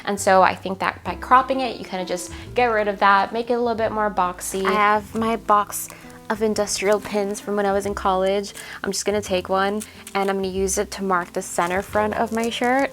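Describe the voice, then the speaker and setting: A woman's voice: mid-range voice, steady tone, a little fast, a bit bright, soft background music. Chatty young woman vlogging her sewing. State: slightly losing her voice. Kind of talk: casual vlog talk to camera. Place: small home sewing studio.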